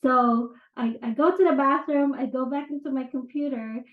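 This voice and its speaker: A woman's voice: sits high, moderate pace. A woman with a Filipino accent, explaining personal change in a casual, chatty way and often checking in with "right?". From Philippines. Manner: conversational, many fillers and "right?" check-ins. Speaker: self-improvement teacher. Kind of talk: casual explanatory talk to camera. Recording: tinny phone-like sound in an echoey room.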